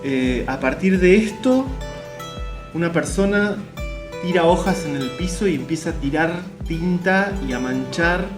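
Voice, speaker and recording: A man's voice: a little high, measured pace. A man in reflective conversation about creative work, repeating words as he searches for the next one. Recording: roomy echo with music behind. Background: guitar music.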